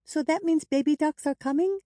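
Woman voicing a high-pitched, innocent little girl. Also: fairly quick, dark tone, dry recording, studio quality, natural voice. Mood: eager, curious. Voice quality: small, childlike voice. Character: frightened, curious eight-year-old little girl.

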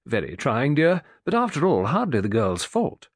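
A man voicing a large, formidable woman who calmly soothes her brother, loyal and dry. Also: medium pitch, normal speed, no echo, pitched above the performer's own voice. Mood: placating. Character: large, formidable, calm woman keeping house.

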